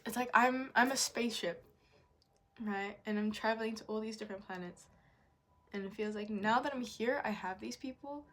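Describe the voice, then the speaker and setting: Candid young woman vlogger, frank and rambling. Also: medium pitch. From Japanese, raised in Indonesia and New Zealand. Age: young adult. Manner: confessional, frank, rambling with fillers and mild swearing. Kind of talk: candid personal vlog.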